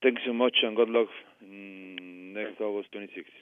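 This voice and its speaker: A man's voice: lowish voice, fairly even intonation, moderate pace, smooth, no echo. A man with a Spanish accent, a sports reporter, politely asking a question over the phone. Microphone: telephone. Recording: narrowband telephone line.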